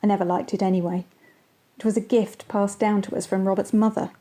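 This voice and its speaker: Fraught married woman played by an amateur actress, medium pitch, dramatic and bitter monologue delivery for radio drama. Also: level tone, fairly quick, warm tone, close to an everyday voice. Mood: bitter, sardonic. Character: fraught wife recounting smashed crockery and marital rows.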